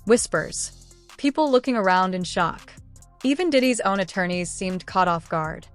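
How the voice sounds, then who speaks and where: A woman's voice: mid-range voice, lively intonation, moderate pace, clear, resonant tone, soft background music. A woman reading scripted news narration in a dramatic style.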